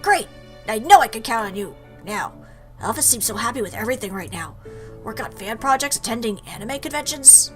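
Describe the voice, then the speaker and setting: A woman's voice: fairly high pitch, expressive, fairly quick, a bit bright, faint music behind. A woman voicing a fierce, blunt female fish warrior from a game who is awkward about love. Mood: relieved, earnest. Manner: blunt, brash.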